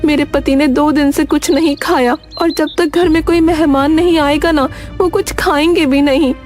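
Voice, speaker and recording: A woman's voice: high voice, steady tone, normal speed, darkish tone, faint music behind, close to an everyday voice. A woman playing an exasperated, practical village wife in a cartoon, with a pleading, nagging delivery. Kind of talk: Hindi cartoon dialogue.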